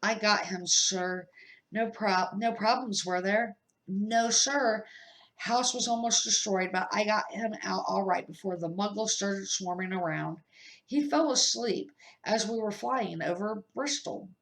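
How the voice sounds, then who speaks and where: A woman's voice: medium pitch, a little slow, a bit bright, far from the mic. A woman voicing a big, tender-hearted male giant, deferential and emotional, in a storybook reading. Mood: earnest, respectful. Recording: roomy home echo.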